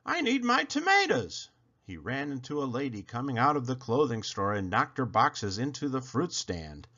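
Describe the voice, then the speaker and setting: A man reading a children's picture book aloud, expressively and with dramatic pauses. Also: mid-range voice, animated, fairly bright tone, highs cut off. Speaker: read-aloud storyteller.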